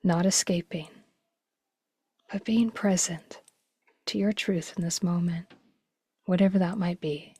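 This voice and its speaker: A woman leading a guided meditation slowly, in a gentle, hushed, breathy voice with long pauses. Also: lowish voice, steady tone, no room sound. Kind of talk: guided meditation, slow and spacious.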